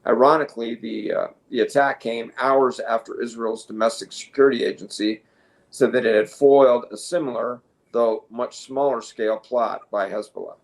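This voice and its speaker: A man with a voice of average pitch co-hosts a casual news commentary. He speaks in a halting way, sometimes working from notes. His manner is opinionated and conversational. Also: steady tone, gravelly, low-quality recording. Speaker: co-host.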